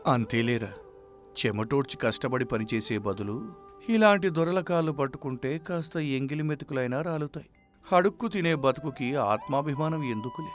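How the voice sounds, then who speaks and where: A man's voice: medium pitch, lively intonation, moderate pace, soft background music, lightly acted. A man playing a scornful, mocking village bully with a sneering delivery in a moral story. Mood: contemptuous.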